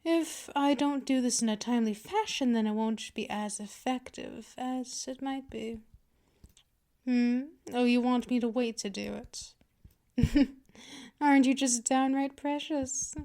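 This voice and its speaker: A woman's voice: a little high, measured pace, mellow. A woman playing a dominant, patient vampire mistress in a scripted ASMR roleplay, speaking in a condescending, teasing purr straight to the listener. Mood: soothing, possessive. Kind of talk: scripted ASMR roleplay, intimate second-person address.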